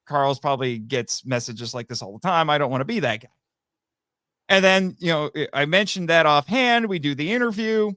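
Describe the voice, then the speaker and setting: A man speaking as a podcast guest and amateur voice actor, telling stories casually in an animated, self-amused way. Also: mid-range voice, no room sound, studio quality. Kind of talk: casual interview storytelling.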